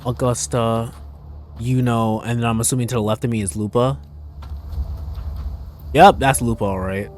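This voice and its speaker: A man's voice: medium pitch, steady tone, normal speed, pauses often, a little hiss or hum. A man giving casual live gameplay commentary, thinking aloud in an irreverent, jokey way. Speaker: game livestreamer.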